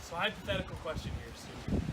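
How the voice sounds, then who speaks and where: Man acting as folksy drifter, high voice, windy. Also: lively intonation, fast. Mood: friendly, musing. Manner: folksy, rambling, colloquial phrasing. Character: friendly, musing male drifter troubled by bad dreams. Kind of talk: amateur scripted student drama. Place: outdoors in wind. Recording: windy outdoor noise, clicks, distant mic.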